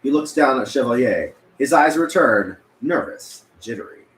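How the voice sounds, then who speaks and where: Male narrator, medium pitch, reading stage directions in a reverberant room with faint noise. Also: big pitch swings, normal speed. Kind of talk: scripted narration at a table read.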